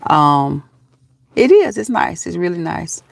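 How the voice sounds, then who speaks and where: A woman's voice: on the low side, expressive, slow, creaky, dry recording, studio quality. A woman in her fifties from Georgia with a Southern accent, chatting casually with viewers on a live stream, laughing often and calling them baby and honey. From Georgia, USA. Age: 50s.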